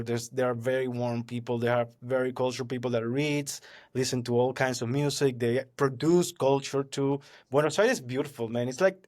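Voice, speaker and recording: A man in his thirties from Venezuela with a Venezuelan Spanish accent, chatting casually on a podcast, animated and laughing often. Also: mid-range voice. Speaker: podcast host.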